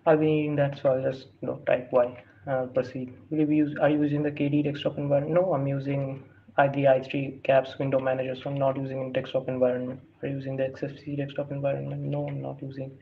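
A man's voice: medium pitch, monotone, fast talker, creaky. A man who is not a native English speaker walks through a screen-recorded tutorial, reading prompts aloud with many fillers and restarts. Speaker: tutorial host. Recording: dull lo-fi sound with faint hiss.

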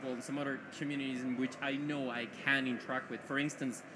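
A man, speaking as a guest on a conference panel and often pausing to search for words. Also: mid-range voice, level tone. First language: Spanish. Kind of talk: casual panel chat. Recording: noisy conference stream.

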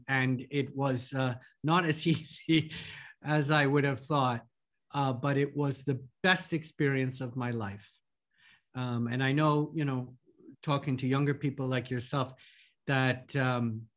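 New York man in his fifties, halting. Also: mid-range voice, fairly bright tone. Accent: American, New York. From New York, USA. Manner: hesitant, many fillers and repeated words. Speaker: podcast guest, lawyer. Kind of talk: casual interview talk.

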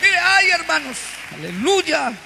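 High-voiced preaching man, echoing hall. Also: very animated, takes it slowly, gravelly, over music, crowd noise. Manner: fervent preaching that swells into shouting. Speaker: pastor. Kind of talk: Pentecostal sermon. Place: large church auditorium stage. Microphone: handheld mic. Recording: long hall reverb.

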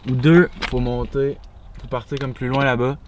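A man's voice: medium pitch, little pitch movement, normal speed, noisy background. A young man with a Québécois French accent, talking casually and using slang while exploring outdoors. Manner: slangy, laid-back, matter-of-fact. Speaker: urban explorer. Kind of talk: casual outdoor vlog chat.

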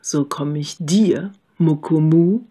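A woman's voice: low voice, slow pace, warm tone, husky, exaggerated voice. A woman voicing a cheeky runaway pancake in a fairy tale, boastful and chanting.

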